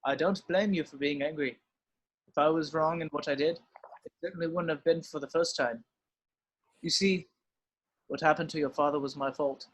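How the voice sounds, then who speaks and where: A man reads the ghost of an aged teacher, calm and full of regret. The voice sits a little above average for a man, reciting film dialogue in a read-through. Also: a little high, normal speed, long pauses, breathy edge, low-quality recording. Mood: regretful. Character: ghostly old male mentor, calm and regretful. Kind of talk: online amateur script table read. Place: home room, on a video call.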